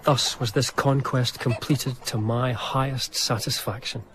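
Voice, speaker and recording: Radio drama: male actor as eager young gentleman diarist of the 1700s, mid-pitched, formal and literary, confiding in the listener. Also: steady tone, unhurried, a crowd in the background, close to an everyday voice. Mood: confiding, pleased. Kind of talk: radio drama narration and dialogue.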